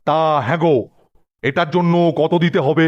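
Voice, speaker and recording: Fussy male schoolmaster played by a man, raised pitch, bossy, questioning, cartoon acting. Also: steady tone, normal speed, a little mellow, dull audio, close to an everyday voice. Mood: haggling.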